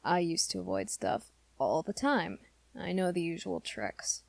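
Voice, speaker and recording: A woman's voice: fairly low pitch, sing-song, gravelly, a little mellow, dry recording, exaggerated voice. A woman voicing an awkward, earnest teenage werewolf boy in an intimate, hesitant ASMR roleplay. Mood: flustered, insistent. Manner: hesitant, halting, rambling confession to listener.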